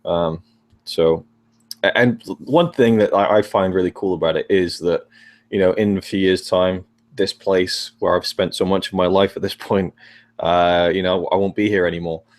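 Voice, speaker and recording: A man's voice: low voice, steady tone, moderate pace. A young man talking as a guest on a casual live stream chat, hesitant and often repeating words as he starts sentences.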